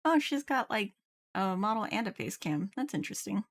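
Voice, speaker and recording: A woman's voice: mid-range voice, big pitch swings, brisk. A woman game streamer chatting casually on a livestream, musing aloud in loose, half-finished thoughts. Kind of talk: casual livestream chat while gaming. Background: game music.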